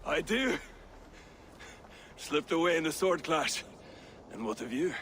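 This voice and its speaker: An actor playing a gruff, boastful, combative Viking raider in a video game, with a forceful, blustering manner. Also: male voice, fairly high pitch, very animated, slow pace, slight background noise, exaggerated voice. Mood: proud blustering. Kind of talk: dramatic video game acting.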